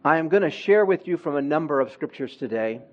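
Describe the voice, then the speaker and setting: A man who grew up in Honduras, preaching a sermon in a church with slow, emphatic delivery that swells louder on key words. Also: fairly high pitch, animated, highs cut off.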